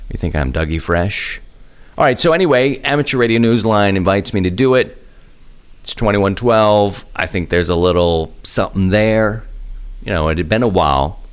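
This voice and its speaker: Deep-voiced, folksy male podcast host. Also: normal speed, clean tone. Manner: playful, folksy, rambling with self-deprecating asides. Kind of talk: casual radio-style chat and announcements.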